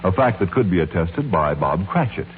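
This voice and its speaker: A man's voice: low voice, sing-song, moderate pace, noisy background. A man narrating a radio play in a theatrical, declamatory 1940s storytelling style. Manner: dramatic, declamatory, storyteller phrasing. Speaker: announcer and narrator. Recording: tinny 1940s radio broadcast, music behind.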